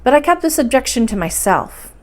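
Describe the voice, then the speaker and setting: Woman narrating a bedtime storybook, voice a bit on the high side. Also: big pitch swings, fairly quick, a little mellow. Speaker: storybook reader. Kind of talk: audiobook-style storybook narration.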